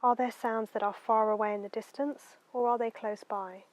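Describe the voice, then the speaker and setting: Gently guiding woman leading a meditation. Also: on the high side, fairly even intonation, dry recording. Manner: gentle, unhurried, soothing instruction with soft phrase endings. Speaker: meditation guide. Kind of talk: guided meditation narration.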